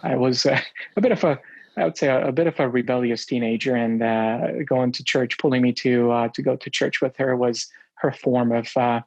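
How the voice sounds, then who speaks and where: A middle-aged man from Romania tells his story as an interview guest. He talks at an ordinary pitch, pausing often and filling gaps with uh and um. The sound is dry and slightly dull. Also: steady tone, gravelly, no room sound. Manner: halting, many uh and um fillers.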